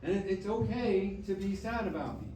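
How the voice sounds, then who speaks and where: An actor playing a loving, gently reassuring old grandfather's ghost in a staged musical, tender and earnest, both speaking and singing. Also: high-pitched, lively intonation, moderate pace, a little hiss or hum. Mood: concerned, tender. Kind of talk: staged musical reading, spoken and sung. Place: live staged reading in a hall. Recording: echoey hall from far off, piano accompaniment. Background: piano music.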